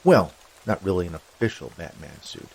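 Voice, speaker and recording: A man's voice: low voice, animated, moderate pace, gravelly, darkish tone, a little hiss or hum, rough recording. A man reading a scripted story as its narrator.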